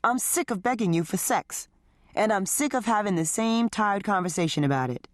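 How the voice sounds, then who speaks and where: A woman's voice: medium pitch, lively intonation, moderate pace, dry recording, studio quality, voice pushed up, natural voice. A woman voicing a resentful married man who talks in a hostile, sarcastic tone. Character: resentful, bitter married man in his forties.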